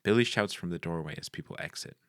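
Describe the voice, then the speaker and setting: A man's voice: deep voice, fast talker, fairly bright tone, no echo, clean studio sound. A man narrating the action lines of a screenplay in a scripted read.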